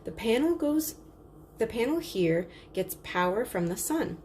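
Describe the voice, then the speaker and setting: A woman's voice: a little high, big pitch swings, measured pace, a little mellow, a little hiss or hum, voice pushed up. Woman playing an enthusiastic, persuasive market salesman. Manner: explanatory, persuasive. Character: enthusiastic market salesman demonstrating his wares.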